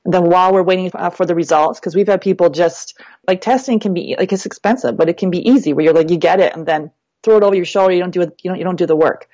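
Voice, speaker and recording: A woman's voice: fairly low pitch, fast talker, warm tone, no echo, dull audio. A woman coach as a podcast guest, explaining step by step in a chatty way with many fillers. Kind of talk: casual explanatory interview talk.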